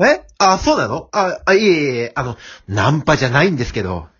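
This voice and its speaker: A man's voice: a little high, sing-song, brisk, a bit bright, dull audio, heavily acted. A man playing a cocky, scheming wolf who flusters easily, in an amateur radio drama with theatrical delivery. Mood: coaxing, flustered. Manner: theatrical, menacing chuckles and smooth-talking.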